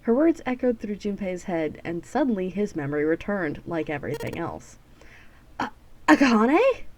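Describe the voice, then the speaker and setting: A woman's voice: on the low side, expressive, brisk, mellow, slight background noise. Giggly, sarcastic woman reading a game aloud. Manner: giggly, sarcastic, swears freely. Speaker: let's play host reading a visual novel aloud. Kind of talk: casual, joking read-through with asides.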